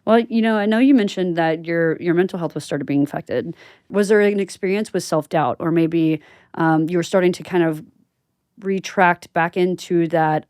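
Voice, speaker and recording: Low, mellow-voiced woman interviewing. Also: no room sound, clean studio sound. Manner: affirming, casual, uses like often. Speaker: podcast host, interviewer. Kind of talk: supportive conversational interviewing.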